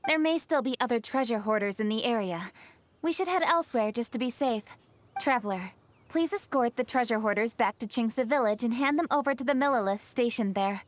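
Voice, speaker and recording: Woman as stern, high-voiced young commander. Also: fairly quick, no room sound, lightly acted. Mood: businesslike. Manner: firm, clipped, authoritative. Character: stern young female official, commanding and no-nonsense. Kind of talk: video game story dialogue.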